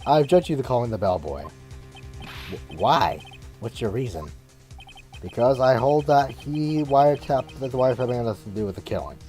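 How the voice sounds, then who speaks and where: A man's voice: mid-range voice, expressive, fairly quick, warm tone, faint music behind, lightly acted. A man voicing a smug male prosecutor who speaks in a haughty, formal way, in an amateur fan dub.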